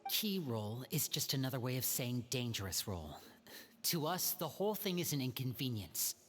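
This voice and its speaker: An actor playing a calm young man who leads his siblings in a video game, speaking in a measured, explanatory way. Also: medium pitch. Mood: steady. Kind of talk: video game character dialogue.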